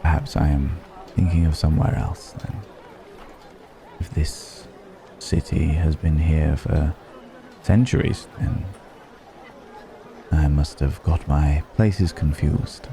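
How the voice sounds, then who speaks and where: Man playing a very deep, gravelly ancient dragon stranger. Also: steady tone, warm tone, a little hiss or hum, exaggerated voice, poor audio. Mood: musing, curious. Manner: musing, archaic formal phrasing, unhurried hums. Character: wry, curious ancient dragon passing as male stranger. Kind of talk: ASMR roleplay audio drama.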